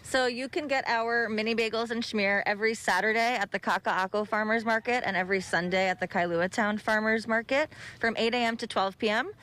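A woman's voice: a little high, a little fast, few pauses, fairly bright tone. A young woman who runs a bagel business, speaking outdoors into a handheld mic during a live TV interview, upbeat as she explains her menu. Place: outdoor park by the ocean. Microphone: handheld reporter mic.

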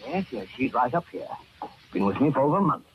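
A man's voice: mid-range voice, big pitch swings, fast, close to an everyday voice. An actor playing an outwardly genial but sinister old man in an old radio thriller, fussy, hesitant and repeating himself. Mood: slyly soothing. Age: older. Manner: fussy, repetitive, hesitant, chuckling. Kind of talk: 1930s-40s radio thriller acting. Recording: muffled old radio recording with faint noise.

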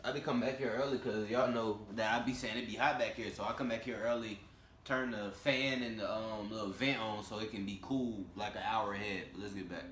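A young man with an American accent giving casual, slangy, laid-back reaction commentary, thinking out loud. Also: fairly low pitch, steady tone, a little fast, slight background noise. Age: young adult. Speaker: reaction video host. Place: room with leather couch and large windows, indoors. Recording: roomy echo, music behind.

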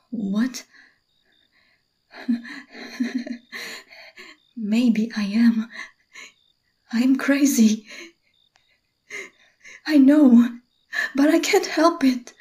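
A clingy, obsessive young boyfriend, as a woman whispers him in an ASMR roleplay. His soft, breathy whisper is slow and mellow, and he stammers and pleads as he goes. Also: mid-range voice, natural voice.

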